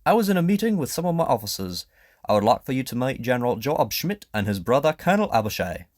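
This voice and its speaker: Man playing an animated, indignant, proud king. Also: mid-range voice, fast, dry recording, clean studio sound, voice pushed up, close to an everyday voice. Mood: formal. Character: earnest, indignant male king in exile, proud and frank. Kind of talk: audio drama acting.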